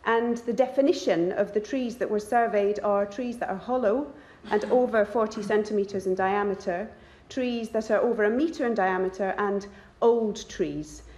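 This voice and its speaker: A woman's voice: mid-range voice, normal speed, darkish tone. Woman giving a conference talk, chatty + self-deprecating, echoey room. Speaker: nature conservationist speaker. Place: lecture hall. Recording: roomy hall sound.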